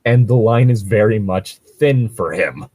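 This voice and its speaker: A man's voice: lowish voice, unhurried, darkish tone, husky, dry recording. A man chatting casually and at length about tabletop role-playing, rambling and swearing often as a co-host. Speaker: co-host reading and discussing stories.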